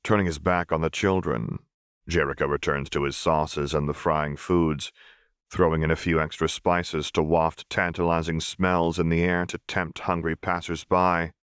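Low male audiobook narrator, calm and dry. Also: normal speed, clean tone, no room sound. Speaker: audiobook reader. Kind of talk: scripted narration.

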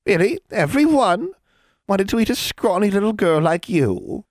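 A man putting on a high, swooping hag woman. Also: sing-song, moderate pace, no room sound, clean studio sound. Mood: mock amazement. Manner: indulgent, officious, crooning, mock-sweet. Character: cheerful, menacing hag official, huge misshapen woman. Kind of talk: storybook character voice.

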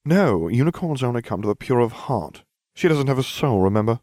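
Man as a smug scheming schoolkid, animated pitch. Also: a little fast, no room sound, studio quality, close to an everyday voice. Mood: bossy. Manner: glib, mock-grave, dramatic.

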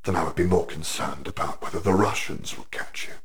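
A masked headmaster speaks in a very deep, heavily husky voice, as a man puts him on. He is slow, measured and coldly threatening, with all the patience of a villain who waits. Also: full character voice. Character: menacing masked male headmaster, cold and patient.

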